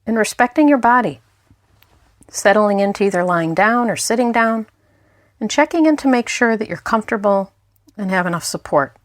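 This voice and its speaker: A woman's voice: medium pitch, dry recording. Woman guiding a meditation, dry close sound. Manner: gentle, unhurried guiding with pauses. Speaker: mindfulness instructor. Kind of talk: guided meditation narration.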